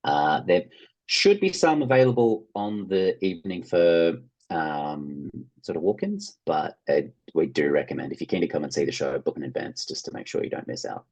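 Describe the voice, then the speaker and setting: Very deep, husky Australian man. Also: animated, moderate pace, darkish tone, poor audio. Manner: enthusiastic, informal, acts out imagined reactions. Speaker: interview guest, actor and theatre producer. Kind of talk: casual interview conversation.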